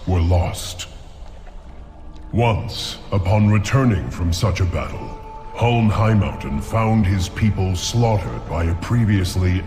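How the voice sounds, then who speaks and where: A man narrating a fantasy game cinematic in a solemn, measured storytelling manner. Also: on the low side, big-room sound. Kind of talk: scripted epic narration. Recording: game cinematic with music behind.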